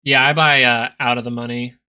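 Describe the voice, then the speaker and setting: Bright-voiced man in his thirties hosting a stock stream. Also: medium pitch, flat delivery, a little fast, smooth. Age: 30s. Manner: chatty, jumps between charts and personal stories, answers viewer questions. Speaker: stock market livestream host. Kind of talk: casual live market commentary with chat.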